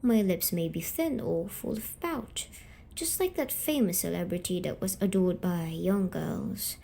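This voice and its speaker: A woman voicing a gentle, wounded female sleep paralysis demon in an ASMR roleplay, coaxing softly and deliberately with breathy half-whispers. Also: lowish voice. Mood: coaxing, teasing. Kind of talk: slow ASMR storytelling roleplay.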